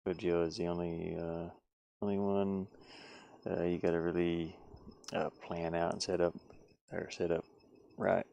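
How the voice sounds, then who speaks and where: A man with a fairly low voice and a lot of gravelly fry talks casually as a live streamer. He muses out loud and rambles, asking himself questions as he goes. He is speaking into a headset. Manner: rambling, musing, self-questioning. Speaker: live streamer learning game design. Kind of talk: casual livestream chat, thinking aloud.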